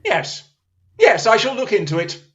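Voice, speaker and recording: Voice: mid-range voice, wildly swooping pitch, normal speed, a bit bright. A performer playing a keen, incisive male detective in a radio drama, musing theatrically, on a muffled recording. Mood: cool, amused.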